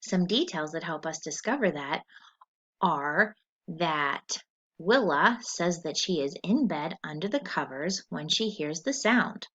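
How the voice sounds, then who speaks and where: Expressive, unhurried woman teacher reading aloud. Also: sits low. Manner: instructional, expressive, deliberate. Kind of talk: scripted story read-aloud with comprehension questions. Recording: dull lo-fi recording.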